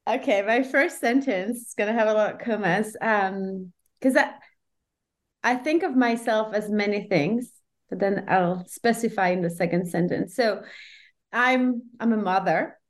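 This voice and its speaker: A woman's voice: mid-range voice, measured pace. Reflective woman. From Brussels, Belgium. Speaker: professor, podcast guest. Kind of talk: conversational interview.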